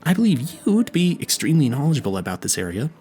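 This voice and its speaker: A man's voice: mid-range voice, big pitch swings, quick pace, mellow, natural voice. A man playing a clueless, earnest vampire hunter in an audio roleplay, stammering and rambling in a politely formal, pleading way. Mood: earnest, nervous. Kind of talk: intimate audio roleplay addressed to the listener.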